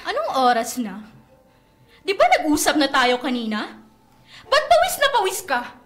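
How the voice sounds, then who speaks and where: A woman's voice: sits high, wildly swooping pitch, normal speed, fairly bright tone, heavily acted. A woman playing a strict, bitter mother in a radio drama, scolding with intense melodramatic emotion. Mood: angry, scolding. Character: strict, bitter, anguished mother, a former athlete. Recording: roomy echo, faint music behind.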